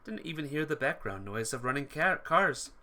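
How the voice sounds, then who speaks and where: Guy doing a let's play, reading game story aloud, kinda high voice, bright, trips on words sometimes. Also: sing-song, moderate pace. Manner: stumbles over words and rereads them. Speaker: let's player reading a visual novel aloud. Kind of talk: reading game text aloud, dramatised narration. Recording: music behind the voice. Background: game music.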